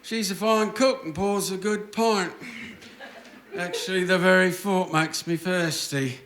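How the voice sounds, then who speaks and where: A man's voice: high-pitched, steady tone, unhurried, a crowd in the background. A man playing a cantankerous, mischievous old grandfather in a comic radio play. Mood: defiant. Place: theatre stage before an audience. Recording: roomy stage with noticeable background noise.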